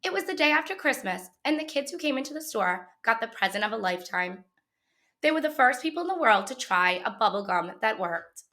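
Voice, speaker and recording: A woman with a fairly high, very bright voice reads a picture book aloud for children. She tells the story expressively, in the manner of a schoolteacher, shaping the lines for young listeners. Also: big pitch swings, brisk. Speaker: teacher reading a book aloud.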